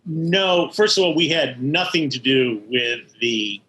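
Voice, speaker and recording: An older American man recalling his work as an interview guest, in a halting way that circles back over words. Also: fairly high pitch, expressive, bright, forceful tone, rough recording. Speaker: interview guest, animation studio veteran. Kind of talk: conversational interview recollection.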